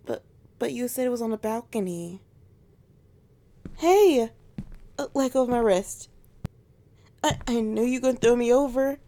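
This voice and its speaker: High-voiced tsundere she-wolf, acted by a woman. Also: mellow. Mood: panicky, wary. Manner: teasing, flustered stammering. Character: tsundere alpha she-wolf on honeymoon, teasing, scared of heights. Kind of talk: romantic ASMR roleplay acting.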